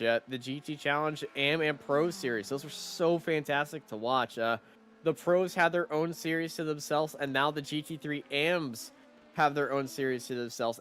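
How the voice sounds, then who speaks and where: A man with a raspy voice, a sim racing commentator chatting casually on a live stream, rambling with lots of fillers. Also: mid-range voice, fairly bright tone. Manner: rambling, many fillers and restarts.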